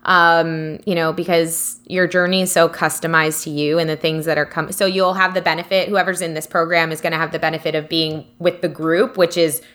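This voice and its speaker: Woman, podcast co-host, bright forward tone, slightly low pitch, casual chat, candid and informal. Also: flat delivery, a little fast, few pauses.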